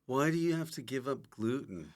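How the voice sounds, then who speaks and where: A man's voice: mid-range voice, animated, moderate pace. A man hosting a podcast in a casual way, putting short questions and jokey remarks to his guest. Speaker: podcast host, comedian. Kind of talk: casual interview chat.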